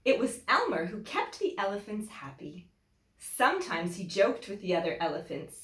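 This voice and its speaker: A woman teacher reading a children's picture book aloud in an expressive, deliberate way, with emphatic phrasing for young listeners. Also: medium pitch. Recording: echoey room.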